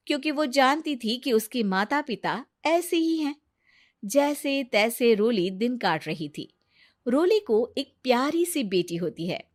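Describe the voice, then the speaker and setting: A woman with a fairly high voice serves as the story narrator. She delivers scripted narration for an emotional family cartoon, telling it as a storyteller would.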